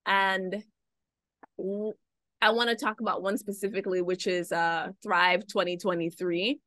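A woman's voice: mid-range voice, steady tone, measured pace, a bit bright, dry recording. A young woman hosting an interview over a video call, reflective and conversational. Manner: reflective, conversational, uses "right?" often. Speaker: podcast host. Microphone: video call webcam.

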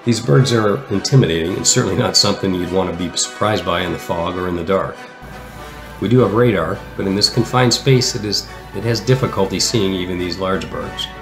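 A man reading a measured, explanatory voice-over for a sailing travel vlog. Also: low-pitched. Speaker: sailor narrating travel vlog. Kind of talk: scripted voice-over narration. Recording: roomy echo, music underneath. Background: background music.

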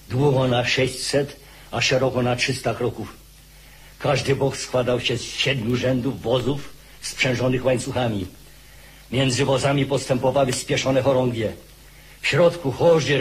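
An actor playing a sober war chronicler reporting a siege and march in a dramatised radio narration. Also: male voice, medium pitch, slight background noise, close to an everyday voice, low-quality recording.

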